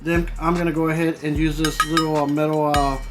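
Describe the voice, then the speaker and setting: A man's voice: on the high side, flat delivery, brisk. A man hosting a DIY car audio build video, talking informally and explaining each step as he works. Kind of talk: casual hands-on workshop tutorial. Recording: music playing behind the voice. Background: music.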